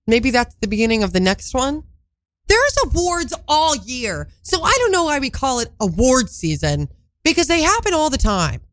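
A congested woman co-hosting a casual pop culture radio show, chatty and quick to laugh, trailing off into side thoughts. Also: sits high, sing-song, fairly bright tone, no room sound. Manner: chatty, laughs often, wanders off mid-thought. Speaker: radio co-host. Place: radio studio.

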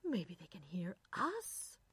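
A woman's voice: on the low side, wildly swooping pitch, takes it slowly, fairly bright tone, husky, no room sound, exaggerated voice. A woman voicing an anxious, curious wife in a story, speaking emphatically and insistently. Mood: startled. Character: anxious, curious middle-class wife, easily astonished.

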